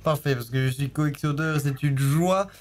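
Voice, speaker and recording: Man putting on a fussy, overly polite gentleman caller. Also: a little high, moderate pace, very noisy, lightly acted. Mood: affected politeness. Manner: overly polite, affected, repeats a verbal tic. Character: fussy, formal male book collector making a phone call.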